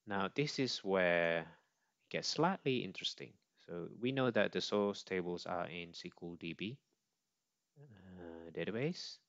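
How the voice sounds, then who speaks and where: A man presenting an informal step-by-step software demo, on a slightly dull recording with mouse clicks. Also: low voice, lively intonation, a little slow, dry recording.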